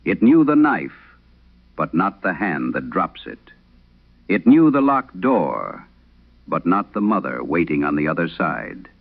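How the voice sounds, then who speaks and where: A man performs an aging exorcist priest for a horror radio play, with a very low voice full of gravel. He confesses in grave, literary, measured phrases that swing widely in pitch, haunted and intense. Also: sing-song, narrow, tinny audio, full character voice. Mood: intense, dread-filled. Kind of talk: dramatic horror radio monologue.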